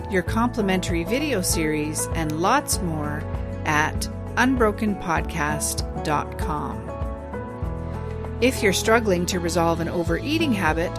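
A woman podcast host reading a scripted introduction and promotion in a measured, even way. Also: fairly low pitch. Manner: measured, even, read-aloud delivery.

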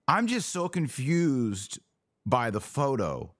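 Animated male podcast host, dry close sound. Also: mid-range voice, slow, no room sound. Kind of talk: casual interview conversation.

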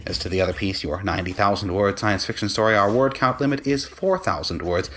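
A man with a lowish voice reads a formal letter briskly and deadpan. He sounds like an officious editor, with soft music underneath. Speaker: managing editor. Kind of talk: scripted reading of a rejection letter.

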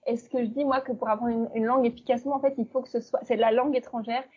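A French woman with a slightly husky, fairly high voice talks as a guest, explaining at length about learning languages. She speaks casually, full of fillers and self-corrections. Her voice comes through a somewhat dull, lo-fi line. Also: fairly even intonation, racing, darkish tone. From eastern France. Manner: chatty, many fillers and restarts. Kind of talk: casual interview talk.

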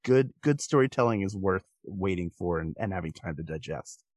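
A man's voice: low voice, lively intonation, moderate pace, darkish tone, dry recording, clean studio sound. A man talking casually as a guest on a podcast.

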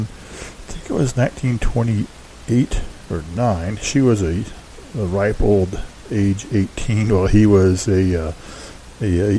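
A man hosting a show, giving casual, unscripted background commentary in a halting, rambling, hedging way. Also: lowish voice, mellow, poor audio. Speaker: host introducing an old-time radio show. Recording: faint background hiss.

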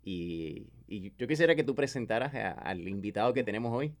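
A man's voice: fairly low pitch, animated, fairly quick. A man hosting a podcast interview, affirming often and asking questions. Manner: affirming, many backchannels. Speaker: podcast host.

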